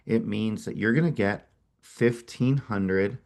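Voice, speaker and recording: Low-voiced man with a cold. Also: slow, gravelly. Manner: energetic, repeats words as he restarts. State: has a cold, sniffling. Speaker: company founder presenting a webinar. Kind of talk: webinar sales presentation.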